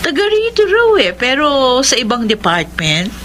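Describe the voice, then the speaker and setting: A woman's voice: sits high, wildly swooping pitch, normal speed, fairly bright tone, slight background noise, heavily acted. An actress playing a gossipy, teasing female coworker in a Filipino radio drama, chatty and exclamatory, with a Filipino accent.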